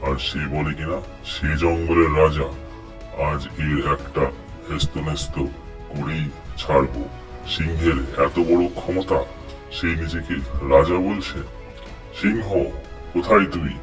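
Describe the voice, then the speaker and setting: Very deep, blustering cartoon tiger. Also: male voice, fairly even intonation, a little slow, soft background music. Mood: angry challenge. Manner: blustering, commanding, pompous. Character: boastful, hot-headed male tiger claiming jungle kingship. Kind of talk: children's cartoon voice acting in Bengali fable.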